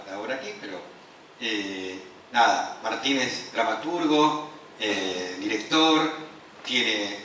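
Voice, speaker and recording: A man's voice: mid-range voice, sharp tone. A man with an Argentine accent makes an informal, loosely improvised introduction at a conference, hesitating as he goes. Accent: Argentine Spanish. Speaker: presenter introducing a conference speaker. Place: echoey room at a conference. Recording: very echoey hall, distant mic, faint noise.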